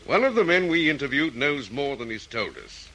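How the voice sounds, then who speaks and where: A man playing a senior, dogged police detective, brisk and authoritative in an old radio drama style. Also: mid-range voice, very animated, bright, forceful tone, slight background noise, low-quality recording. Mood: businesslike, determined.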